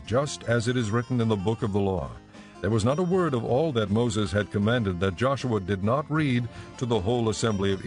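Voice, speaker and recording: A man giving a measured, solemn scripted narration for a dramatized audio Bible. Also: lowish voice.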